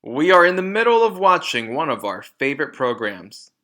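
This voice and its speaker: A man's voice: a little high, big pitch swings, normal speed, pushed and bright, pitched above the performer's own voice. A man voicing a brusque, dismissive middle-aged father in a storybook reading. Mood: irritated, dismissive. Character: gruff, curt, rude car-dealer father, middle-aged man.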